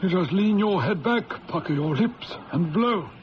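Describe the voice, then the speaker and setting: An actor playing a shrewd, unhurried police inspector in an old-time radio drama, measured and probing with a dry manner. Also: male voice, high voice, animated. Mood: calm, knowing. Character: shrewd, unhurried male police inspector in colonial South Africa. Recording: dull lo-fi radio recording, faint hiss.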